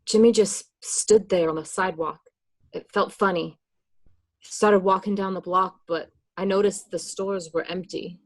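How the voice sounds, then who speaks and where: A mid-pitched female voice of an actress plays a mobster's wife and mother. She is distrustful and frightened, speaking with emotional insistence in radio-play dialogue. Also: fairly even intonation, moderate pace, lightly acted. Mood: uneasy. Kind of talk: amateur online radio play acting.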